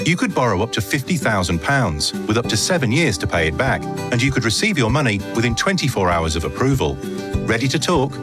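A man's voice: medium pitch, very animated, brisk. A man reading a scripted promotional voice-over for a radio advert. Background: music.

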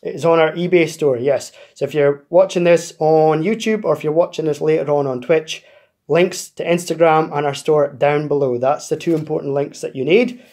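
A man's voice: fairly high pitch, steady tone, moderate pace, darkish tone. A man hosting a card-opening video, chatting casually as he opens packs and explains pre-orders, recorded at a table indoors. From United Kingdom. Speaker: card-opening channel host. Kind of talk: casual pack-opening chat.

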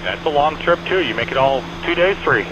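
A man's voice: on the high side, expressive, fairly quick, dull audio. A man working as an air traffic controller, giving clipped, repeated, courteous instructions over aviation radio. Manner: clipped, repetitive directives with courteous sign-offs. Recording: band-limited aviation radio, aircraft engine noise. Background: small airplane engine drone.

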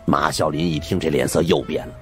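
A man's voice: very deep voice, steady tone, a little fast, fairly bright tone, faint music behind. A man narrating an audiobook as a colloquial, conversational storyteller.

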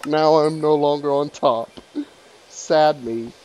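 A man casually commenting on his own gameplay, playful and laughing, with sudden excited yelps. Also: fairly high pitch, takes it slowly, rough recording. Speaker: gaming channel host.

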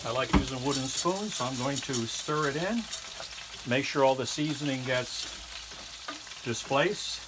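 An older man in his sixties hosts a casual cooking video from a small trailer kitchen. His voice sits at a medium pitch and he talks haltingly, pausing mid-sentence. Clear frying and kitchen noise runs behind him. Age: 60s. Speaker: home cook and cooking show host. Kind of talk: casual cooking demonstration talk.

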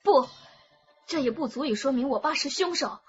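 An actress playing a distraught young daughter, pleading and insistent, in a radio drama. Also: female voice, sits high, animated, normal speed, lo-fi sound, faint music behind. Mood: defensive. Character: distraught young daughter defending, then questioning her father. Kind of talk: emotional radio drama acting.

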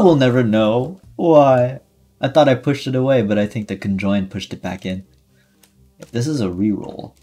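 A male game streamer with a fairly low voice talks casually through his play over game music. He reacts as he goes, excitable in manner, slipping between muttered asides and louder outbursts. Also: breathy edge. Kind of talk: casual, reactive gameplay commentary. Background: video game music.

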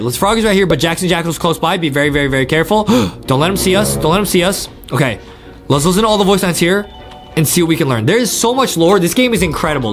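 A man in his twenties giving excited gameplay commentary, eagerly repeating his phrases. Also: high voice. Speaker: gaming video host. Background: faint game music.

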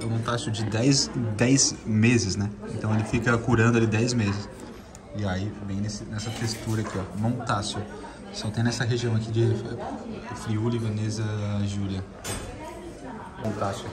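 A man's voice: on the low side, level tone, normal speed. Southern Brazilian man chatting over restaurant bustle. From Santa Catarina, southern Brazil. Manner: informal, conversational, repeats himself. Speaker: travel food vlogger. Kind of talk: casual vlog chat while tasting food. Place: inside a restaurant. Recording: busy restaurant chatter behind.

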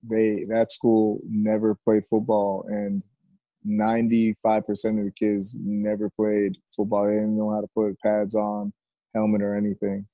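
Middle-aged male coach, mellow and gravelly, reflective and halting, casual interview. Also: fairly low pitch, monotone, no room sound, poor audio. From Jamaica and United States. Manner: reflective, halting with repeated words. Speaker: high school football coach, interview guest. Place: covered outdoor porch.

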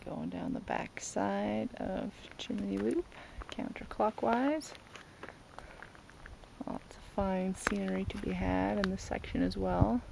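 A man casually narrating his hike outdoors, with relaxed, offhand comments on the scenery. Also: high voice, slow pace, gravelly. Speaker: travel vlogger. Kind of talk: casual narration while hiking. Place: outdoors on desert trails and in an orchard. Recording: noisy outdoor camera audio.

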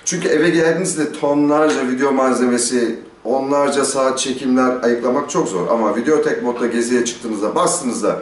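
A man's voice: medium pitch, normal speed, few pauses, slight background noise. A middle-aged man giving a casual, explanatory product review to camera in a conversational way, often asking and answering his own questions. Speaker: motorcycle gear reviewer, host. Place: small stone-walled outdoor courtyard. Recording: roomy echo, distant microphone.

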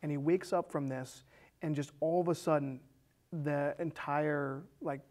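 Guy in his 30s, voice a bit on the high side, casually retelling a movie like on a film chat show. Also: steady tone, a little slow, darkish tone. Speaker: film discussion show co-host. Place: small indoor studio set with curtains.

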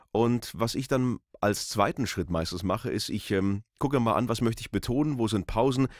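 A man's voice: medium pitch, no echo. A man, a voice artist giving an explanatory tutorial into a close studio microphone. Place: small voice-over studio booth. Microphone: close studio microphone on a boom arm.

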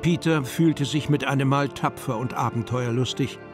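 A man's voice: mid-range voice, moderate pace. A man narrating a children's story from a script as part of an audio drama. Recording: music under the voice.

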